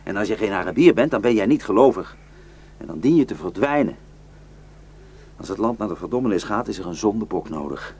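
A man's voice: on the low side, expressive, fairly quick, husky. An actor playing a cynical, taunting Iraqi man in a radio drama, declaiming and mocking. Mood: mocking, lecturing. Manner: mocking, declamatory, swinging from hushed to shouting.

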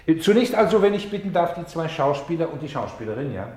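Solemn stage narrator, sweeping intonation, echoing. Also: male voice, fairly high pitch, very animated, darkish tone. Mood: polite, organising. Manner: declamatory, deliberate, emphatic pauses. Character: solemn, insistent male stage narrator presenting a grave play. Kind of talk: theatrical German radio play, addressing the audience.

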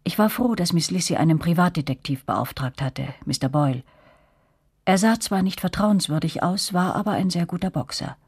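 A woman playing a young narrator in a radio play, recalling events in a measured, reflective way. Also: fairly low pitch, lively intonation, a little mellow, slightly rough, no echo, clean studio sound.

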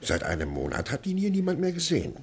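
An actor playing a gossipy, self-satisfied small-town innkeeper who chats confidingly, in a 1980s German radio crime drama. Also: male voice, a little high, wildly swooping pitch, quick pace. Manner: chatty, confiding.

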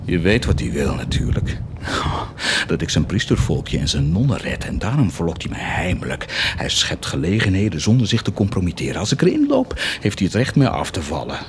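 An actor playing a proud, cruel, blasphemous warlord in a theatrical radio drama, declaiming and mocking. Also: male voice, sits low, wildly swooping pitch, brisk, slight background noise, exaggerated voice. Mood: bitter, blasphemous. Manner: declamatory, mocking, swinging from hushed to shouted.

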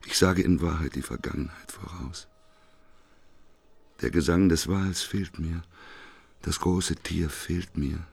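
An actor playing a despairing, bitter prophet with a hoarse voice in a German radio play. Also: male voice, low-pitched, fairly even intonation, slow, pauses often, heavily acted. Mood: weary despair. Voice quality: hoarse, gravelly, rasping. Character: despairing old doomsday prophet, weary and bitter.

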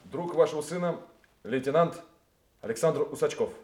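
A man acting a brisk, respectful young army lieutenant reporting formally in a radio play. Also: a little high, darkish tone, natural voice. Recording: echoey room, faint noise and clicks.

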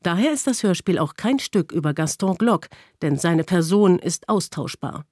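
A woman's voice: lowish voice, expressive, moderate pace, darkish tone, slightly rough, no echo, clean studio sound. A woman reading a scripted programme introduction in a factual, articulate way. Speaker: programme announcer.

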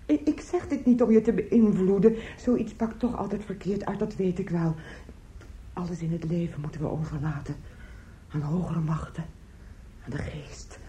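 Woman acting a fretful older woman, dark-toned. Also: fairly low pitch, moderate pace, close to an everyday voice. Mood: insistent, confiding. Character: fretful, complaining, meddling older woman, piously fatalistic. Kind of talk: radio drama acting. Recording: echoey, noisy old radio drama recording.

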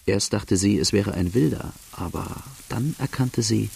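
An actor playing a brooding outlaw who gravely narrates his own life story in a radio drama. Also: on the low side, measured pace, darkish tone, exaggerated voice. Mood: grave, haunted. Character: brooding young male Irish-Australian outlaw telling his life.

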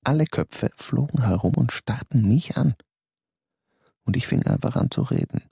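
A man's voice: medium pitch, moderate pace, creaky, dark tone, slightly rough, dry recording, clean studio sound. A man voicing a reflective male theater actor who narrates his story in the first person. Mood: calm.

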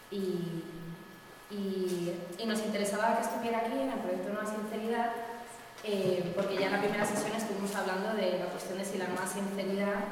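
A woman curator introducing a seminar session, speaking in a halting way with many pauses and restarts. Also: medium pitch. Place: seminar room. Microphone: distant room microphone. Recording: echoey hall from far off, noisy.

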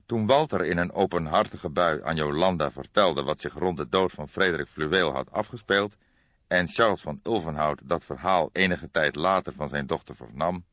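A man reading a detective audiobook as narrator, in measured, dramatic storytelling. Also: a bass voice, fairly bright tone. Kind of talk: scripted narration of a detective novel. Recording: boxy, echoey, dull recording.

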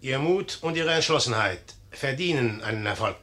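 An actor playing a commanding, shrewd and sardonic young general in a 1950s German radio play. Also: male voice, mid-range voice, expressive, normal speed, pushed and bright. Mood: stern, proud.

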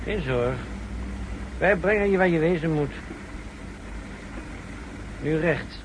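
An actor playing a controlling, tight-lipped secret-service escort in a 1960s radio drama, giving terse, clipped orders. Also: male voice, slow. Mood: curt, evasive. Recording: noisy old radio recording, car rumble.

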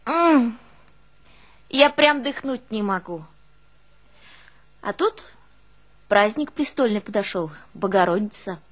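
A woman's voice: mid-range voice, animated, takes it slowly, long pauses, a bit bright. Plaintive northern village old woman. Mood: wistful, then rueful. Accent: northern Russian village dialect. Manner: folksy, emotive, with sighing exclamations and wailing quotes. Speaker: stage actress in a one-woman play. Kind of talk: dramatic monologue, folksy storytelling.

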